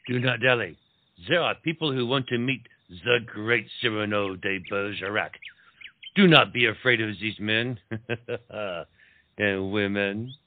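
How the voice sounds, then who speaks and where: An actor playing an urbane French marquis and officer, a loyal friend who coaxes and reassures, dropping French words into comic dialogue. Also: male voice, on the low side, a little slow, narrow, tinny audio, close to an everyday voice. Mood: teasing. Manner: coaxing, sprinkles speech with French words. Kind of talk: theatrical comedy audio-play acting.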